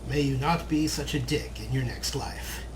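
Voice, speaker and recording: A man's voice: mid-range voice, moderate pace. A man giving casual, wryly musing commentary as he plays a video game. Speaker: let's play host. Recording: clear background game noise. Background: video game sound effects.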